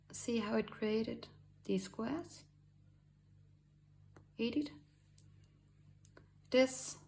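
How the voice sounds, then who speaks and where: A woman from Denmark with a Danish accent, hosting a drawing tutorial in a halting way with many fillers and soft asides. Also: mid-range voice, creaky. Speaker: art tutorial host. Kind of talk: screen-recorded drawing tutorial.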